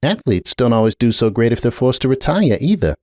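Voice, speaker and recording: A man's voice: medium pitch, big pitch swings, brisk, a little mellow, no echo, studio quality, voice pushed up, lightly acted. A man voicing a guarded, brooding middle-aged pony mare, a blunt aunt with a terse, world-weary way of talking, in a dramatic story reading. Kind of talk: dramatic audiobook character reading.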